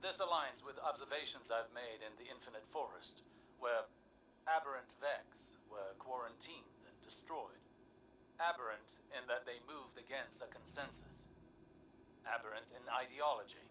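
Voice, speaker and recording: A man's voice: mid-range voice, normal speed, bright, forceful tone, a little hiss or hum, natural voice, low-quality recording. A man playing a stern, erudite sci-fi strategist in a video game, grave and clipped in delivery. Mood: scholarly. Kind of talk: scripted video game dialogue. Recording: music under the voice.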